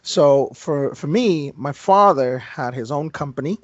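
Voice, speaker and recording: A man in his thirties from Indonesia answers interview questions in a thoughtful, self-deprecating way, pausing to restart his thoughts. His mid-range voice comes through dry and dull, like lo-fi remote audio. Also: on the high side, lively intonation, no echo. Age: 30s. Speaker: guest entrepreneur. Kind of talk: interview conversation.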